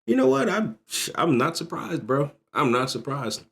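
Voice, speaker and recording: A man's voice: a little high, very animated, normal speed, creaky, fairly bright tone. A man in his thirties ranting loosely and profanely while reacting to a video on a livestream. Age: 30s. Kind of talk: casual livestream reaction chat.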